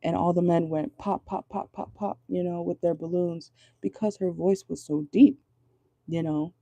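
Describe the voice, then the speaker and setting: A woman with a low voice giving a casual tarot reading from home, chatty and rambling, often checking in with her viewers. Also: fairly even intonation, normal speed, vocal fry, dark tone, no room sound. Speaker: tarot reader. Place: at home indoors at night.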